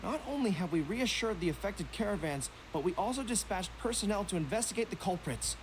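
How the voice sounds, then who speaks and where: Young game general, earnest n polite, formal delivery, noticeable background noise. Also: male voice, high-pitched, lively intonation, brisk. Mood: earnest, businesslike. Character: Goro, young male general, earnest, courteous and dutiful.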